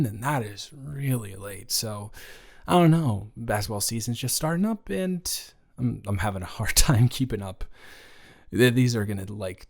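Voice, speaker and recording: A young man playing a gentle, awkward roommate with a crush in an improvised roleplay audio, hesitant and reassuring as he talks. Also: mid-range voice. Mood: friendly, rambling.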